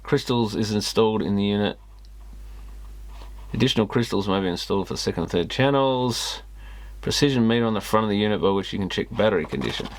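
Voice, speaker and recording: A man's voice: on the low side, quick pace, a little hiss or hum. A man hosting a repair video, casually commenting and reading a manual aloud with muttered asides. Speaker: host of an electronics repair video channel.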